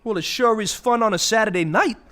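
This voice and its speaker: A man's voice: sits high, a little fast, fairly bright tone. An actor voicing a brash, wisecracking male vulture with streetwise, mobster-like slangy talk in a comic radio play. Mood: incredulous, teasing.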